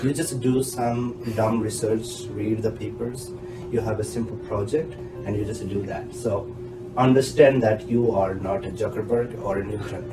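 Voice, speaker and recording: A man's voice: lowish voice, fairly even intonation, moderate pace. A man in his thirties with an Indian accent, a guest giving frank, emphatic advice on a podcast interview. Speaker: guest, doctoral graduate. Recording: echoey room with loud music bed.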